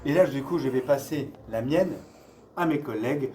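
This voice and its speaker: A man's voice: mid-range voice, moderate pace, darkish tone. A man hosting a casual interview in a conversational way. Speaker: interview host.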